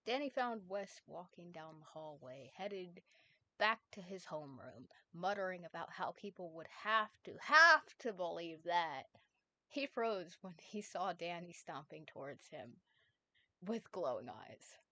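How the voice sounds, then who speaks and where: A woman's voice: on the low side, big pitch swings, measured pace, pushed and bright, dry recording. Chatty woman co-reading fanfic on stream. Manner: chatty, swears, restarts. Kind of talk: casual live reading and chat.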